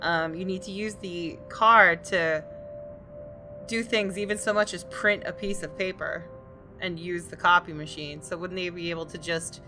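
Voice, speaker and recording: Casting: female gaming host reading story narration, slightly low voice, clear game music behind her. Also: steady tone, moderate pace, sharp tone. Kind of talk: reading visual novel narration aloud with casual asides.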